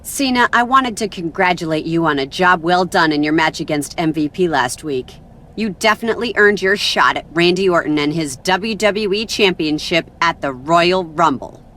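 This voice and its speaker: Low-voiced, commanding female boss. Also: expressive, normal speed, a bit bright. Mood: businesslike. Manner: commanding, businesslike. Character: brisk, authoritative female wrestling boss. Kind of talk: video game cutscene acting.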